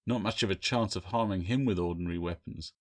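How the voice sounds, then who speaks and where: Man playing a grim, low-voiced pirate captain. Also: close to an everyday voice. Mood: grave, wary. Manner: measured, ominous, firm. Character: grim, wary, tall male pirate captain.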